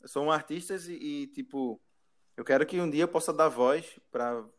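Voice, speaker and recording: A man's voice: fairly high pitch, normal speed. A man hosting a casual podcast chat, laughing often and trailing off mid-sentence. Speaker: podcast host.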